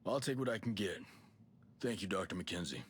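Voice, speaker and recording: An actor playing a gruff, confident middle-aged special forces soldier in a video game. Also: male voice, low-pitched, brisk, slight background noise, lightly acted. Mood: firm, dry. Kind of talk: video game cutscene acting.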